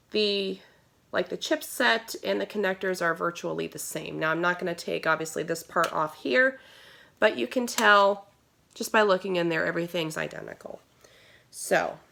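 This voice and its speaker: Nasal, low-pitched American woman vlogger. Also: gravelly, a bit bright. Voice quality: nasal, congested. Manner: informal, rambling with asides. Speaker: gaming video host. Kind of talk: casual tutorial vlog. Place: small room at home, indoors. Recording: noisy home recording with handling clicks.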